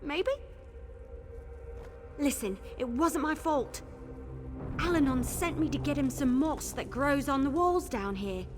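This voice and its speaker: High-voiced, breezy young elf soldier. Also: female voice, animated, slow pace, pauses often, over music. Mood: sheepish, hesitant. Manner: chatty, breezy, hedging. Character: flippant, apologetic young female elf soldier. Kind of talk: video game dialogue acting.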